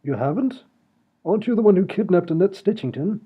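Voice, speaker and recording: Man playing a fussy high-voiced lord, breathy, polite, radio play, dull lo-fi sound. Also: animated, a little fast. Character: prim upper-class English lord, polite, fussy. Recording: dull lo-fi audio.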